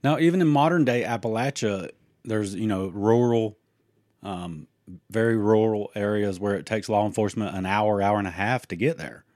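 Low-voiced man in loose podcast chat. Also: moderate pace, darkish tone, dry recording, studio quality. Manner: rambling, with frequent fillers and restarts. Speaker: podcast co-host. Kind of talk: casual chat.

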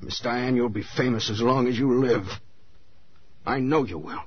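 An actor playing a brooding, simple-spoken middle-aged handyman, halting and creepy, in 1940s radio style. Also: mid-range voice, slightly rough, natural voice. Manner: halting, plain ungrammatical speech. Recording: dull, noisy 1940s radio recording.

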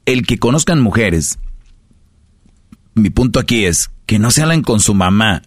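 A man's voice: fairly low pitch, lively intonation, a little slow, slightly rough, no echo, studio quality. A Mexican man hosting a radio show, giving playful, joking dating advice and acting out little dialogues.

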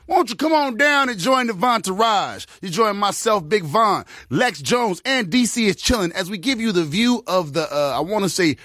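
A man's voice: sits high, lively intonation, normal speed, hardly any pauses, pushed and bright, dry recording, studio quality. A man, host of a podcast, doing a loose, rambling promo for his show.

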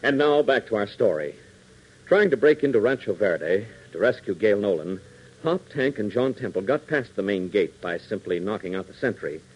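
A man's voice: lowish voice, lively intonation, normal speed, slightly rough. An American man narrating a 1940s radio adventure serial in a dramatic, declamatory announcer style. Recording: muffled, hissy 1940s radio transcription.

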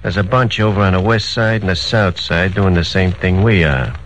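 Low voiced mobster sidekick, casual street slang, shrewd, old 1940s radio drama w/ faint noise. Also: male voice, moderate pace, a bit bright. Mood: easygoing. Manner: casual streetwise underworld talk. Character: slangy gang lieutenant, easygoing but shrewd.

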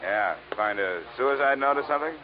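An actor playing a tough, skeptical insurance investigator in an old radio detective drama, with dry, clipped, world-weary delivery. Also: male voice, mid-range voice, expressive, brisk, natural voice. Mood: businesslike. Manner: hard-boiled, dry, clipped. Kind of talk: 1940s-50s radio detective drama acting. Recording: dull, noisy old radio recording.

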